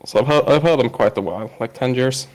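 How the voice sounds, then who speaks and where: A man in his thirties from Sweden with a Swedish accent, speaking English as a second language while down with a cold, answering interview questions in a halting way with many filler pauses. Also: mid-range voice, poor audio. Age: 30s. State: has a cold. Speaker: podcast guest. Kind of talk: casual interview chat.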